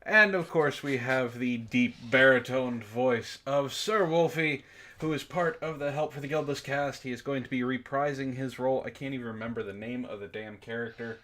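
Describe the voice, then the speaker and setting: Man, mid-pitched voice, livestream host, casual chatty delivery, jokey, playfully theatrical announcements. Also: moderate pace, a bit bright. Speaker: host of a book club livestream.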